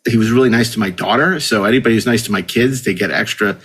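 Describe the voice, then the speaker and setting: A man hosting a podcast in casual chat, with a halting delivery that often repeats words. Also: fairly low pitch, fairly bright tone, slightly rough. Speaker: podcast host.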